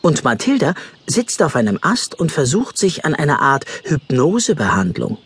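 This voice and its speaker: A man's voice: on the high side, huge pitch swings, moderate pace, fairly bright tone, a little hiss or hum. A man narrating a scripted children's story expressively.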